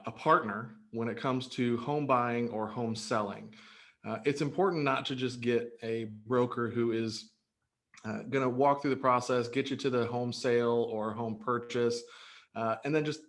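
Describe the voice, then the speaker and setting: Upbeat, earnest man in his thirties. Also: mid-range voice. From Greece. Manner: upbeat, earnest, many fillers and restarts. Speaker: real estate broker, guest. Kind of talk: casual interview answers.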